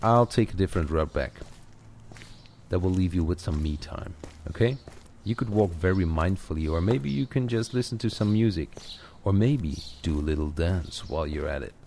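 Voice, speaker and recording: A man's voice: low-pitched, expressive, normal speed, gravelly, darkish tone. A man coaching the listener in an informal, conversational audio-walk narration, addressing them directly. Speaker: coach guiding a walking audio lesson. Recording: outdoor ambience noise with clicks. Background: added outdoor walking ambience, birds.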